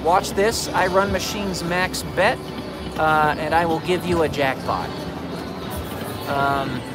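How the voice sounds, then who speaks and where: A man's voice: a little high, slow pace. Man reading chat over slot music. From California, USA. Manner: friendly, reads out viewer messages. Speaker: slot streamer host. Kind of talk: reading live chat on a casino stream. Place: casino floor. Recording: loud casino machine music behind. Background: loud slot machine music.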